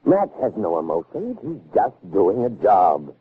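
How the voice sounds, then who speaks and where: An actor playing a proud, cold, middle-aged scientist, lecturing with emphatic authority in 1960s radio drama style. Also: male voice, on the low side, huge pitch swings, measured pace, slight background noise. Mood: assured, persuasive. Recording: narrow, phone-like old radio recording.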